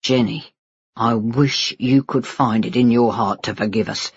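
A woman plays a stooped, weary father in a dramatised audiobook. The voice is low and rough-edged, pleading and full of remorse, with sighs. The sound is a little dull. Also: measured pace, no room sound, full character voice. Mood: pleading, emotional. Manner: sighing, pleading.

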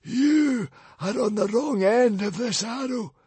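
A man's voice: very high voice, slow pace, a little mellow, slightly rough, no echo, voice pushed up, full character voice. A man voicing a hissing, whiny male librarian villain in an audiobook reading. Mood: menacing, screeching.

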